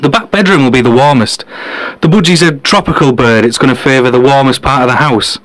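An actor playing a brilliant, dryly sarcastic male detective in a comedy audio drama, terse and deadpan. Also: medium pitch, brisk, dry recording, close to an everyday voice, low-quality recording. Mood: matter-of-fact.

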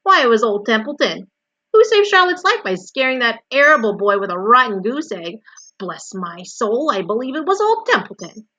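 A sarcastic old rat, as a woman storyteller puts him on, grumbles loudly and mockingly. He is sour and full of self-pity, recorded dry and a little muffled. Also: mid-range voice, little pitch movement, moderate pace, few pauses, no echo, close to an everyday voice. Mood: indignant.

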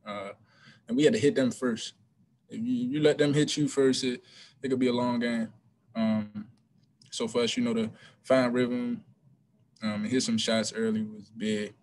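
A young man, a college basketball player, answering press questions after a game over a video call, relaxed and hesitant with many ums and restarts. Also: mid-range voice, normal speed, long pauses, slight background noise. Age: young adult. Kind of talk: postgame press conference answers. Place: small room before a team backdrop. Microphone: video call webcam.

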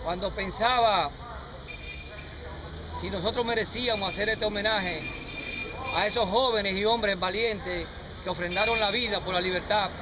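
A middle-aged Dominican man with a Dominican Spanish accent giving an earnest, measured welcome speech at an outdoor ceremony. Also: high-pitched. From Dominican Republic. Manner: earnest, measured, speaking unamplified to a crowd. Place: outdoor plaza in rain. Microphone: handheld camera nearby. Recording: noisy outdoor street recording. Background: street traffic noise.

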